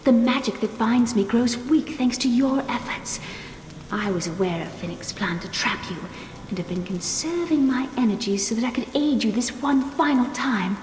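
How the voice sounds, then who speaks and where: A woman playing a captive spirit in a video game, a loving, soothing, seductive enchantress speaking in breathy, measured tones. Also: fairly high pitch, expressive, darkish tone, lightly acted. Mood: soothing, longing. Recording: long echoing reverb, faint noise.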